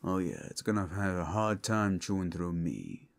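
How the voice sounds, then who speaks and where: A man voicing a gruff, seasoned demon monster hunter in an action-horror audio roleplay, with a gravelly voice and a wry, laconic, protective manner. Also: low-pitched, big pitch swings, moderate pace, full character voice. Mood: wry, relaxed.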